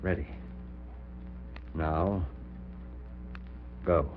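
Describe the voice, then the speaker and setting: An actor playing an obsessed middle-aged surgeon in a 1940s radio horror drama, giving intense, clipped, commanding orders. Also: male voice, very deep voice, fairly even intonation, very slow, faint music behind, heavily acted. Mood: tense, obsessed. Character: obsessed middle-aged male surgeon reviving his dead wife. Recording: muffled, noisy, humming 1940s radio recording.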